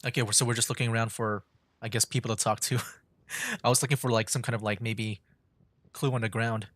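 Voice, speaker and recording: A man's voice: medium pitch, steady tone, brisk, fairly bright tone, dry recording. A man giving casual live game commentary as a streamer, rambling as he thinks aloud.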